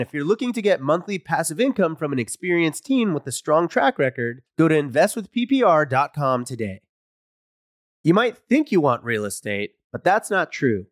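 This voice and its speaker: A man reads sponsor spots in a smooth, upbeat way, his pitch moving in a lively way. His voice sits a little high, and the recording is dry and close. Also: no room sound. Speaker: podcast co-host reading ads. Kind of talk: read sponsor ads.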